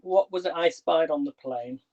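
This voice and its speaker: A man's voice: a little high, normal speed, a little mellow. A man hosting a casual kitchen livestream, chatty and rambling, who is losing his voice. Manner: chatty, rambling, restarts questions to viewers. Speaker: livestream host. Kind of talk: casual live-stream chat. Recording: dull lo-fi livestream audio.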